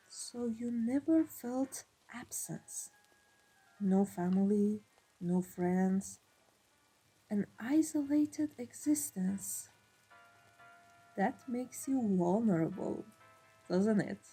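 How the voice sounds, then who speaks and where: Husky, dark-voiced female vampire mafia boss, acted by a woman; cold, imperious, measured ASMR roleplay over music. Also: on the high side, exaggerated voice, rough recording. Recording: music behind the voice. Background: classical background music.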